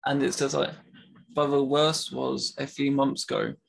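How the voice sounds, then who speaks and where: A man's voice: mid-range voice, level tone, measured pace, vocal fry. A man who stammers, talking casually and openly with many fillers. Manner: stammers, talks openly about it. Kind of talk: casual conversation.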